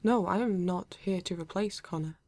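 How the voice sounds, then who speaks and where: A woman with a British accent playing a formal, reserved male android detective in an ASMR roleplay, speaking stiffly and deliberately with halting pauses. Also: fairly low pitch, darkish tone, no room sound. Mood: formal, calm. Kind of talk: ASMR roleplay addressed to the listener.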